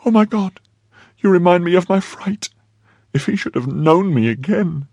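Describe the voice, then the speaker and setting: A man's voice: mid-range voice, moderate pace, husky, no room sound, pitched above the performer's own voice. A man voicing a frightened young French maid in a storybook, emotional and anxiously pleading. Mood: agitated, fearful.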